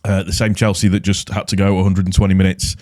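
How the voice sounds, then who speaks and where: A man's voice: low-pitched, flat delivery, fast, a little mellow. A man with a cold, talking casually and rambling through his football fan channel, exasperated with rival fans. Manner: chatty, rambling, exasperated when mocking rivals. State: stuffy with a cold. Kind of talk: casual solo vlog commentary.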